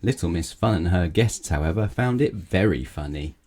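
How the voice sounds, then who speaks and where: A man with a low-pitched, dark and mellow voice narrates a children's storybook. He reads aloud as a storyteller for young listeners. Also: lively intonation, moderate pace.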